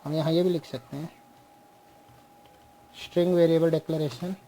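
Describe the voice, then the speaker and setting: A young man gives a coding lesson from his desk, going through each step at a very slow, patient pace. His voice sits a little high but sounds soft and mellow. A faint hiss of background noise stays under his speech. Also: fairly even intonation, low-quality recording. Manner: slow, explains step by step, mixes Hindi and English. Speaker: programming teacher. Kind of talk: screen-share coding lesson.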